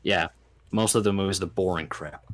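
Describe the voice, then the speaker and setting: A man's voice: sits low, a little fast. A man chatting casually as a podcast guest, explaining details and making corrections. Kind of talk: casual chat.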